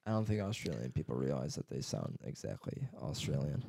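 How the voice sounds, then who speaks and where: Laughing young guy, lively voice. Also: very deep voice, fairly quick, vocal fry, husky. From United States. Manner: laughing, swearing, doing silly accent impressions. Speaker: podcast co-host. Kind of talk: casual chat.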